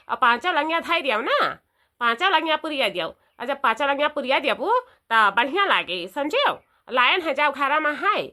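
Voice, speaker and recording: Woman as an emphatic, sharp-voiced older village mother-in-law. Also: high voice. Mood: instructing, emphatic. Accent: eastern Uttar Pradesh. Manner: emphatic, repeats phrases, folksy lecturing. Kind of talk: comedy cartoon dialogue.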